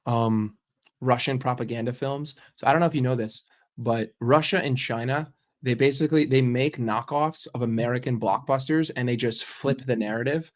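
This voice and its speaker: A man's voice: mid-range voice, normal speed. A young man streaming, chatting casually with his viewers, joking and swearing freely. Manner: profane, joking, reacting to chat. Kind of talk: casual livestream chat.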